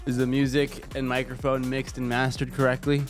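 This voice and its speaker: A man's voice: medium pitch, completely flat delivery, a little fast. A man streaming live, a little congested and stuffy, chatting casually and joking with lots of profanity. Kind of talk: casual livestream chat. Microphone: desk microphone. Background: faint music.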